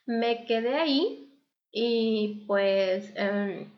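A woman's voice: on the high side, extremely slow, muffled recording. A young adult woman living abroad, speaking candidly and thoughtfully in a casual interview, with many fillers. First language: not German. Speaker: interview guest.

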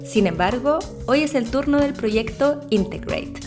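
A woman hosting a podcast, interviewing a guest with lively, curious questions. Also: sits high, a little slow, music in the background. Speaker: podcast host.

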